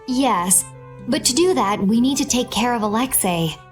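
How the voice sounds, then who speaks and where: A woman playing a composed, practical young adventurer in a video game, speaking in a level-headed, deliberate way. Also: on the high side, animated, faint music behind, close to an everyday voice. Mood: sober, resolved. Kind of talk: English video game dub.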